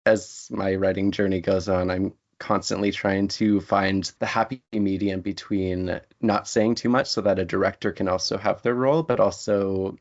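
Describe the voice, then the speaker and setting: Low-pitched, gravelly, reflective and articulate writer, interview chat, slightly muffled lo-fi recording. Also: steady tone, normal speed, few pauses. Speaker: guest writer. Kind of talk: thoughtful interview conversation. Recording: dull lo-fi audio.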